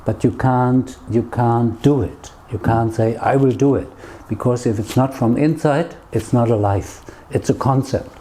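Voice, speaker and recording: A man's voice: medium pitch, measured pace, few pauses, mellow. A man with a German accent answering conversationally as an interview guest, in short emphatic phrases often tagged with a questioning "yeah?". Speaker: interview guest, neighbourhood tour guide. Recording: clear background noise, ambient sound.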